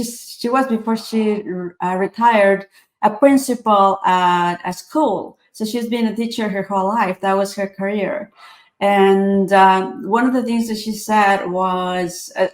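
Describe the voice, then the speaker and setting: A woman in her forties from Ecuador speaks at a middle pitch, earnest and reflective. She pauses on fillers and restarts her sentences. Her voice bounces around a roomy, echoey space. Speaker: author and tech speaker. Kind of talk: interview answers.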